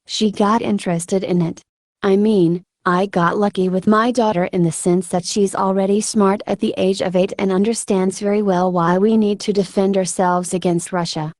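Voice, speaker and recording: A woman voicing a steadfast female combat medic in conversational, halting interview answers for a translated voice-over. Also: medium pitch, fairly even intonation, darkish tone, no echo, clean studio sound, close to an everyday voice. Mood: conversational, steady. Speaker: dubbing voice of an interviewee.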